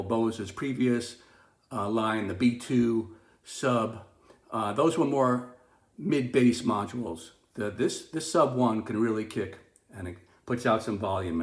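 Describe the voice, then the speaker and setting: A man giving a casual, unscripted product review as he demonstrates gear, halting and restarting his phrases. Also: on the low side. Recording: roomy, echoing sound.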